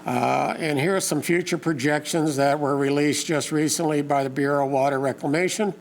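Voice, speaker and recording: A hoarse man who is losing his voice speaks at a community meeting, pausing often with fillers in plain, folksy wording. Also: on the high side, steady tone. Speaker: community meeting organizer and lake info page host. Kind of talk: informal public talk to a local meeting.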